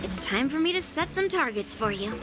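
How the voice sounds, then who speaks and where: Casting: young female sword mentor for anime game, high voice, blunt, confident, firm. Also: sing-song, a little fast, music in the background. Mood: firm, instructive.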